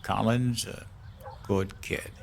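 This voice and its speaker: Uneasy mailman, low gravelly voice, halting, apologetic, audio drama. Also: fairly even intonation, slight background noise. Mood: gentle, sad. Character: apologetic, uneasy mailman bearing grim summonses.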